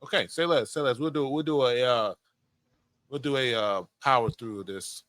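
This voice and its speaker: A man with a bright, punchy voice chats as a podcast co-host. He is informal and slangy, doubling back on phrases, in a very dry recording. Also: mid-range voice, normal speed, no echo. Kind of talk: casual movie discussion chat.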